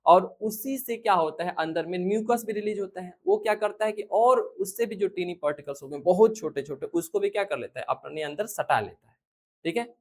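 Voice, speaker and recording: Indian school science teacher, classroom lecture delivery, medium pitch, repeats key questions and answers them for the students. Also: animated, a little fast, a little hiss or hum.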